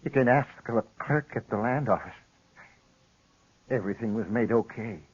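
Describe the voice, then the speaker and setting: An actor playing a shifty, wounded frontier lawyer, weak but insistent and evasive, in an old radio western. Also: male voice, medium pitch, moderate pace, slightly rough, close to an everyday voice. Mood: pained, evasive. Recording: dull lo-fi 1950s radio recording.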